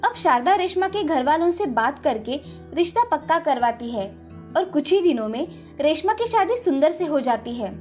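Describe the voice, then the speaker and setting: High-pitched woman narrating a fairy tale. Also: big pitch swings, normal speed, a little mellow, faint music behind. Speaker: storyteller. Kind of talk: scripted story narration.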